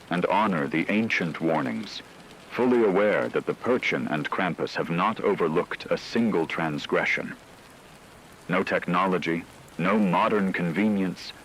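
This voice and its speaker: A man's voice: deep voice, a bit bright, a little hiss or hum, poor audio. A man playing a solemn radio newscaster, narrating formally and ominously in a scripted radio drama. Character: solemn male radio newscaster reporting supernatural terror. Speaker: radio news host.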